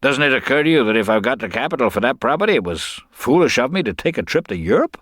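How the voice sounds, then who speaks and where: An actor playing a smooth con man who feigns sincerity in folksy, earnest, confiding talk. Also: mid-range voice, very animated, fast, fairly bright tone, no echo, studio quality, pitched above the performer's own voice.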